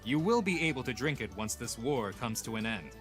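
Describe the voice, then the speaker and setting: Guy voicing a haughty ancient king, bright forceful voice, big swings in pitch, declaiming for a comic dub. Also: sing-song, fairly quick, soft background music. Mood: commanding, proud. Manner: pompous, lofty, declamatory. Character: proud, boastful young king of ancient times, imperious but amused. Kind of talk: scripted comic dub acting.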